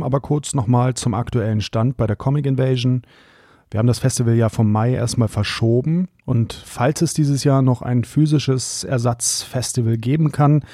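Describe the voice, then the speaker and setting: A man's voice: mid-range voice, moderate pace, mellow, no echo, clean studio sound. A man hosting a podcast, introducing and interviewing a guest in a friendly, conversational way. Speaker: podcast host.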